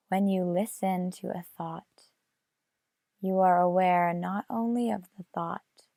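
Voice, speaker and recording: Female meditation guide, very unhurried with long pauses, plenty of vocal fry. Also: on the low side, darkish tone, no echo, studio quality. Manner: measured, with long pauses between phrases.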